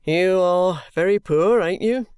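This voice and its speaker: A reader doing a stern slow constable. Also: mid-range voice, darkish tone, clear, resonant tone, no room sound, studio quality, voice pushed up, close to an everyday voice. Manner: firm, slow and weighty. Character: stern, unyielding police constable, man.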